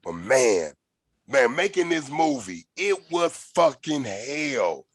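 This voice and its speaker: A man hosting a podcast, telling stories in a loose, animated way, with slang and swearing. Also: medium pitch, takes it slowly, no room sound, studio quality. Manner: animated, profane storytelling with slang. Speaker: podcast host. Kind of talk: casual podcast conversation.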